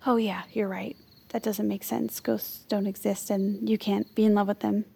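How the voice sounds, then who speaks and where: An actress playing an eager, mischievous, gossipy aide in a comedic audio drama, with an excitable, theatrical manner. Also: female voice, mid-range voice, moderate pace, vocal fry, husky. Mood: eager, curious.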